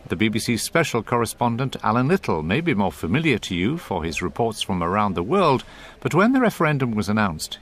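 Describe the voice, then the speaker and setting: A man presents a radio feature from a script. His pitch sits in the middle range and moves with a lot of animation. The delivery is that of a programme presenter. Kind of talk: scripted narration.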